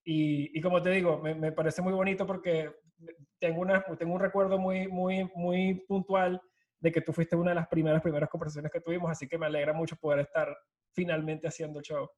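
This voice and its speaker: A man's voice: fairly high pitch, flat delivery, a little fast, darkish tone. A Venezuelan man in his thirties, a startup co-founder, telling his story at length over a video call and dropping English words into his Spanish. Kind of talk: casual storytelling interview.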